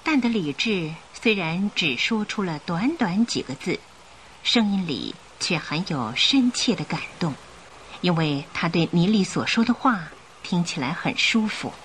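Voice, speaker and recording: A woman narrating a radio drama in an expressive storytelling style. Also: lowish voice, a little slow, a little hiss or hum, rough recording. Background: music.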